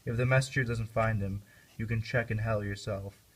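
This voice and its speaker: A man's voice: lowish voice, steady tone, moderate pace, close to an everyday voice. A man playing a brooding, accusing, half-mad young prince in an amateur puppet-show play. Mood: mocking.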